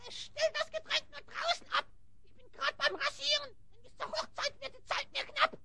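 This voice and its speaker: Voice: very high-pitched, moderate pace, gravelly, sharp tone, dry recording, heavily acted. An actor voicing a scheming, whining male hyena spy who cackles and speaks theatrically in rhyme. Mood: sly, pleased.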